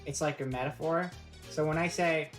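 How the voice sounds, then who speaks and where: A woman with a notably low voice hosts a casual live stream, presenting in a rambling, joking way. She keeps a playfully mock-serious tone throughout, and soft music plays faintly behind her. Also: steady tone, normal speed.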